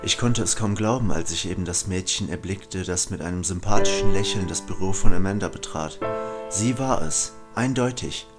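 A man's voice: low voice, normal speed, loud music behind the voice, close to an everyday voice. A man playing a brooding, conflicted killer who narrates introspectively in an audio drama. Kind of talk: German audio drama acting and first-person narration.

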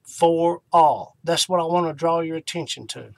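A middle-aged man hosting an online ministry, talking informally and devotionally to camera in an unhurried way, with emphatic pauses and repeated key phrases. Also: a little high, creaky, a little mellow, dry recording.

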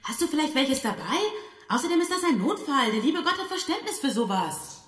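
Resolute young girl leader, high voice, echoey space, children's radio play. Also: sing-song, a little fast, a bit bright. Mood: determined. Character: Kyra, determined, brave young girl leading her friends. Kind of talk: German children's audio drama acting.

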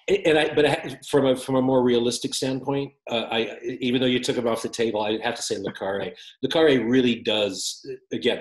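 A man in his fifties, an author guest, answering interview questions on a video call with many restarts and fillers. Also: mid-range voice. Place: home room with windows. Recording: roomy echoey call audio.

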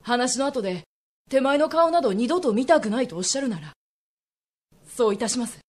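Woman playing a high-voiced suave young merchant man. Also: animated, a little mellow, no echo. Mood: composed, earnest. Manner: polite, smooth, deliberate. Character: suave, handsome young male merchant in old Japan.

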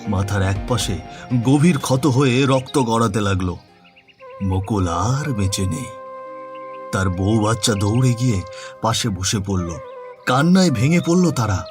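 A man narrating a horror story in a suspenseful, dramatic manner. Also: fairly low pitch. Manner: slow, suspenseful, dramatic delivery. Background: background music.

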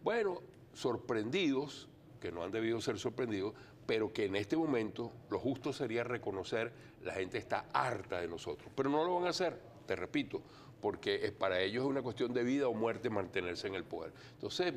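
An older man from Venezuela, a TV studio guest talking earnestly and deliberately, with many fillers. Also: on the low side, expressive, a little hiss or hum. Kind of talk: TV studio interview.

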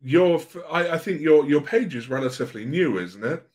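A man's voice: on the high side, animated, moderate pace, darkish tone. A man in his mid-thirties hosting a podcast, introducing a guest and asking questions in a friendly, slightly hesitant way with ums and uhs. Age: 30s. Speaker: podcast host. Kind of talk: casual podcast interview.

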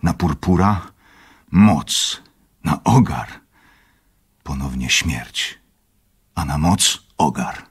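A methodical doctor in a radio play. His voice is extremely deep and thoroughly husky. He speaks slowly and calmly, setting out each point with clinical deliberation. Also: heavily acted. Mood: intrigued, analytical. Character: calm, methodical male doctor leading an experiment. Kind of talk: Polish radio play acting.

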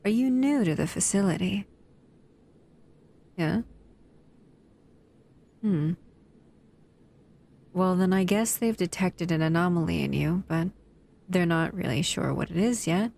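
Slow, creaky female monster, acted by a woman, languidly menacing. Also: lowish voice, a little mellow. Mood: aloof, curious. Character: dangerous female humanoid monster, bored, toying, menacing predator. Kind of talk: slow audio roleplay to the listener.

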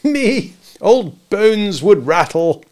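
Cocky mock-pompous boy, read by a man, loud and hearty. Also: high voice, big pitch swings, extremely slow, voice pushed up, heavily acted. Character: cocky boy playing a grand father.

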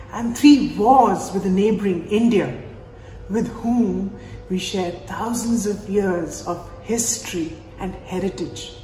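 A man's voice: sits high, a little mellow. A man in his thirties, an actor, giving a dramatic scripted reading. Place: indoor room. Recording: echoey reverberant room, music behind.

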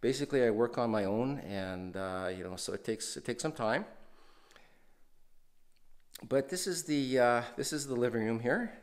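A man's voice: medium pitch, normal speed, long pauses. A man hosting a renovation video, casually walking through an empty house and talking with many fillers and restarts. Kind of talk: casual walkthrough narration.

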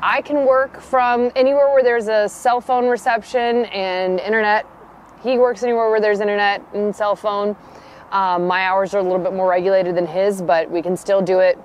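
A woman's voice: mid-range voice, steady tone, moderate pace, slight background noise. A woman in her thirties co-hosting a family travel vlog, speaking with upbeat enthusiasm while seated outdoors beside a travel trailer. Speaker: vlog co-host. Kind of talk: casual travel chat. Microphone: camera at a distance.

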